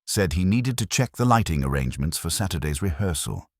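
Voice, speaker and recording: A man voicing a sharp-eyed, purposeful cleaning woman in a mystery story, exact and matter-of-fact. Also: sits low, big pitch swings, fairly quick, dry recording, clean studio sound, full character voice.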